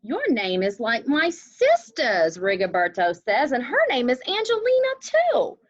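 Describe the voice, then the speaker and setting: A woman's voice: sits high, huge pitch swings, a little slow, highs cut off. A woman reading a picture book expressively and chatting about it as she goes. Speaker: story reader, co-host.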